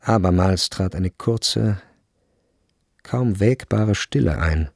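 A man's voice: low-pitched, slow pace, gravelly, a little mellow, no echo, clean studio sound, exaggerated voice. A man narrating a literary radio drama in a sober, scripted manner.

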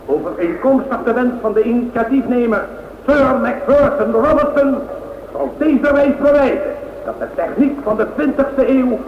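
A man playing a formal air race official who speaks in a measured, declamatory, ceremonial way in a radio play. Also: very high-pitched. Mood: solemn, ceremonial. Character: formal race official announcing starters and giving speeches. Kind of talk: radio play public announcement. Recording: echoing, dull, slightly noisy old radio recording.

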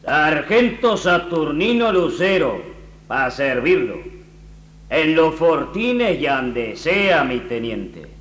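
An actor playing a loyal rustic army sergeant with a rural Argentine accent in a radio drama. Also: male voice, fairly high pitch, very animated, slow pace, fairly bright tone, full character voice. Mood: eager, loyal. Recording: echoey, distant archival radio sound.

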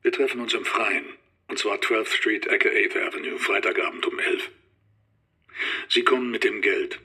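An actor in a radio play voicing a burly, cocky blackmailer, blunt and demanding. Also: male voice, lowish voice, normal speed, sharp tone, slightly rough, exaggerated voice. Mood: menacing, demanding.

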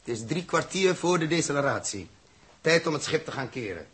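Genial spaceship commander, very husky, expressive intonation, Netherlands Dutch accent, crisp orders, vintage sci-fi radio play. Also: male voice, a little high, fairly quick, full character voice. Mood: brisk, jovial. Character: male spaceship commander, brisk, genial, quietly awed by space. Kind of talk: 1960s Dutch science fiction radio play acting. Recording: 1960s radio drama recording.

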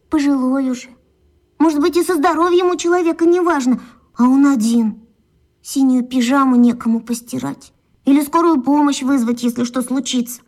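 An inquisitive, soft-hearted little schoolgirl chatters away in a children's radio play, as a woman acts her. Her voice sits very high and bright with a childlike rush of excitement. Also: lightly acted. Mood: pitying. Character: lively inquisitive schoolgirl Katya, tenderhearted.

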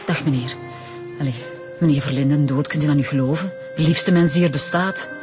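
A woman's voice: sits low, brisk, lightly acted. A woman playing a chatty, flustered, loyal receptionist in a radio drama, with a Flemish accent. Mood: dismayed. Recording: music behind the voice.

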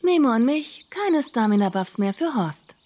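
A woman's voice: a little high, sing-song, moderate pace, over loud music. A woman voicing a vain female healer who is obsessed with bags, in a parody audio drama, talking in a chirpy, self-satisfied way.

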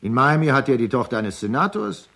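A man's voice: medium pitch, very animated, brisk, gravelly, a bit bright, heavily acted. A man playing an insistent, emphatic witness giving testimony in a radio drama. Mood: defensive, explaining.

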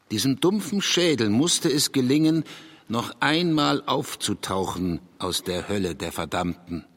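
Male for taciturn writer, radio play; husky, slow and halting, heard in long hall reverb. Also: lowish voice, heavily acted. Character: male writer, taciturn, hesitant, brooding poet. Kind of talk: radio drama, literary reading and interview.

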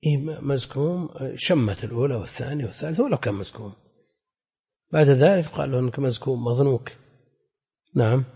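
A man's voice: mid-range voice, quick pace, long pauses, mellow, slightly rough. A man teaching a religious class as a scholar, explaining in a didactic, conversational way and often putting questions to his students. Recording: echoey hall with long reverb.

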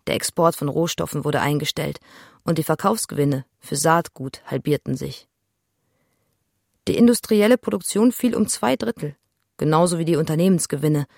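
A woman acting as a bleak narrator in a literary radio drama, reciting insistently in a husky, breathy voice. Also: low voice, darkish tone, no room sound, studio quality. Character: bleak woman echoing and reciting collapse as 'we'.

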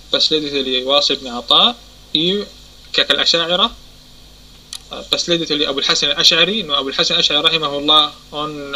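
A man teaching a religious lesson. He pauses often, corrects himself, and keeps asking if his listeners understand. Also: a little high, bright, forceful tone, rough recording. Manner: halting, many fillers and self-corrections. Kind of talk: explanatory lesson.

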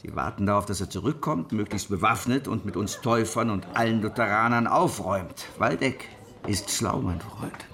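An actor playing a grave, shrewd rebel preacher of the sixteenth century in a radio drama, speaking in a measured, earnest way. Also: male voice, on the low side, expressive, vocal fry, a bit bright. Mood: grave, resolute. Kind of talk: historical radio drama acting.

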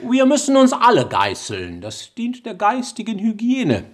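An actor in a German radio drama playing an imperious, eccentric English gentleman collector with a pompous, commanding manner. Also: sits high, wildly swooping pitch, unhurried, heavily acted. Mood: lofty. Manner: commanding, grandiloquent.

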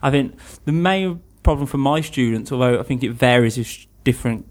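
A man's voice: mid-range voice, a little fast. A young man from England, an English teacher, chatting casually in an interview, laughing easily and restarting his sentences.

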